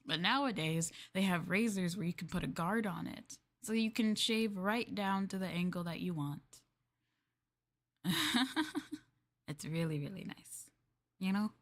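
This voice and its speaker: A woman livestreamer in casual roleplay chat, playing a polite, chatty maid and often dropping into soft, breathy near-whispers. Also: on the low side, unhurried. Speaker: VTuber livestream host.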